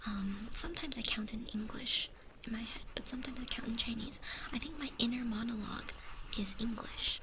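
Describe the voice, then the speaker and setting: A young woman from the United States whispering gently into a close microphone as an ASMR streamer, chatting with viewers with small laughs and restarts. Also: on the high side, fairly quick, background noise. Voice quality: whispery, soft and breathy. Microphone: close sensitive ASMR microphone.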